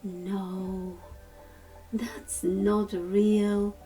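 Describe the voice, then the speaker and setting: Woman reading as a slow, gentle old toy horse. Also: mid-range voice, little pitch movement, far from the mic, faint music behind. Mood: gentle, wise. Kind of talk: storybook character reading.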